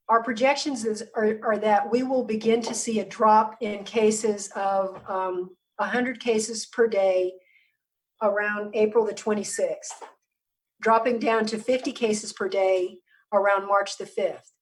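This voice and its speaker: A woman public health official giving a measured briefing over a video call, hoarse and losing her voice, often stopping to clear her throat. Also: medium pitch, far from the mic, poor audio. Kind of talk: briefing presentation on a virtual meeting.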